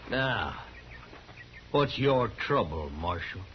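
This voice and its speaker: A man's voice: lowish voice, sing-song, slow. An actor playing a mean, defiant drifter gunman with a rural American western drawl in a 1950s radio drama. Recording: dull, noisy 1950s radio recording.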